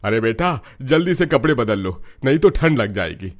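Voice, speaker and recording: An actor voicing a poor, humble roadside cook and worried father in a cartoon story, polite and soft-spoken. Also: medium pitch, sing-song, moderate pace, natural voice. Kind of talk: cartoon voice acting.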